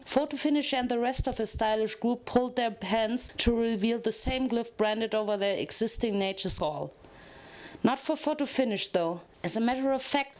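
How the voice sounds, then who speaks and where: A woman narrating a scripted story as a dramatic reading. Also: on the high side.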